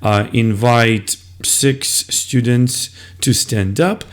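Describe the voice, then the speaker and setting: A man explaining classroom methods as a teacher, in a deliberate, step-by-step instructional style. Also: medium pitch.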